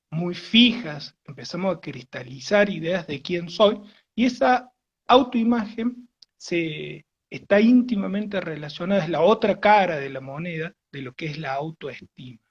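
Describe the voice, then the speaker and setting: A man's voice: high voice, slightly rough, dull audio. A man with an Argentine Spanish accent giving a virtual lecture, explaining with many fillers and rephrasings.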